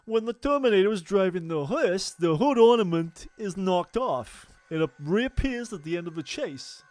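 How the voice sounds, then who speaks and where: A man's voice: high-pitched, animated, normal speed, darkish tone, no room sound. A man co-hosting a podcast, chatting casually and jokily about film trivia. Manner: joking, conversational. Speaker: podcast co-host.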